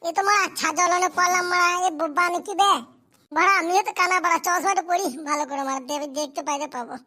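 A performer voicing a hapless, foul-mouthed young cartoon groom in coarse slang, squeaky and childlike. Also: steady tone, quick pace, pushed and bright. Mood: panicky, exasperated. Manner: coarse, grumbling, rural slang. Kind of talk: amateur comedy cartoon voice acting.

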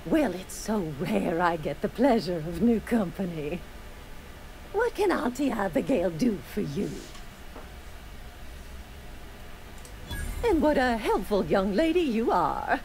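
A woman's voice: medium pitch, very animated, a little slow, vocal fry, a little mellow, a little hiss or hum, poor audio. A woman voicing a sugary older auntie in a video game, a dispensary pharmacist who coos and dotes with pet names. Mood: sugary, doting. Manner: cooing, doting, uses pet names. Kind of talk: video game character acting.

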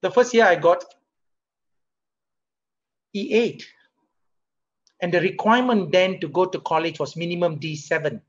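A middle-aged man with a Singaporean English accent, a company CEO giving a motivational leadership talk over a video call, asking rhetorical questions and getting animated as he makes his points. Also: high voice, measured pace, pauses often. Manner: conversational, rhetorical questions, rises animatedly when making a point. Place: home or office room, webcam. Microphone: webcam or laptop mic on a video call. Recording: muffled video call audio.

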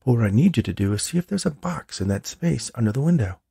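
A man voicing a gentle, coaxing female ghost in an audiobook. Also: mid-range voice, animated, quick pace, vocal fry, slightly rough, dry recording, studio quality, voice pushed up, exaggerated voice. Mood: gentle, reassuring. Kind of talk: audiobook character reading.

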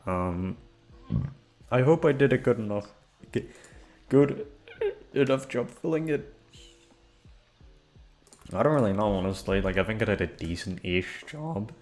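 A man's voice: mid-range voice, a little mellow. A man chatting casually to his listeners in an outro, rambling and self-deprecating with lots of fillers. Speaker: voice actor.

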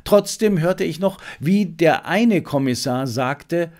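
A man voicing an eager, disobedient messenger boy who plays junior sleuth in an audiobook, reporting earnestly and excitably. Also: on the high side, slow pace, pitched above the performer's own voice. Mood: sheepish, eager.

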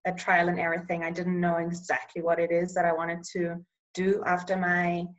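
A young woman with Bulgarian as her native tongue talks about public health work in a casual interview. Her voice sits a little low, and she is measured, often pausing to search for words. The sound has the feel of a video call. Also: completely flat delivery, lo-fi sound. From Eswatini.

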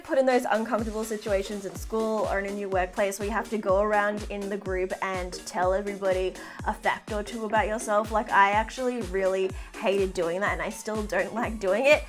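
A young woman in her twenties chatting casually to camera in a bedroom, bubbly and full of fillers. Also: mid-range voice. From half Filipino. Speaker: beauty vlogger. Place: indoor bedroom with fairy lights.

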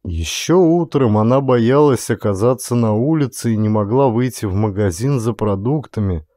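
Male narrator for dramatic fiction audiobook, warm dark tone, mid pitch, dry studio-close sound. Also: no room sound.

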